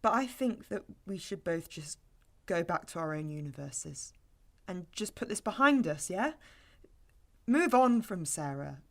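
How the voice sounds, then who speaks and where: A woman's voice: fairly low pitch, animated, unhurried, fairly bright tone. An actress playing an uneasy, frightened young woman pleading to get away in an audio drama. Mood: uneasy, placating. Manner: tense, swearing when pushed. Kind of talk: naturalistic audio drama acting.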